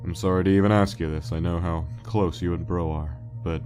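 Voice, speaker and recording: Deep-voiced weary skeleton acted by a man. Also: steady tone, a little mellow, soft background music, lightly acted. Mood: apologetic, resigned. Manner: halting, subdued, wistful. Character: weary adult male skeleton monster, guilt-laden joker. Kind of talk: dramatic fan comic dub, reflective storytelling.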